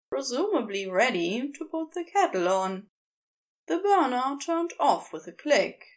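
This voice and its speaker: A woman reading scripted fiction as an audiobook narrator, with an expressive, measured storytelling delivery. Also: fairly high pitch.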